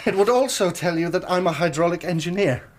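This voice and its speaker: An actor with an English accent playing an injured, overwrought but polite engineer in a period radio drama, formal and excitable. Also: male voice, sits high, fast. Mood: strained politeness.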